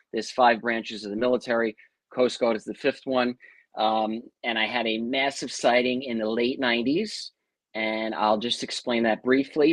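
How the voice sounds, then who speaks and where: A man's voice: mid-range voice, no echo, low-quality recording. New York man telling his story, dry mic. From Long Island, New York. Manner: chatty, tells long stories with asides. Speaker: guest. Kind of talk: casual interview storytelling.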